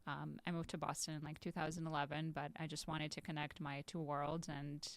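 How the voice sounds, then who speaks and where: A woman's voice: sits low, little pitch movement, a little fast, gravelly, dry recording. A young woman from Ukraine, a guest on a podcast, talking casually with many fillers and small laughs. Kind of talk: casual interview talk.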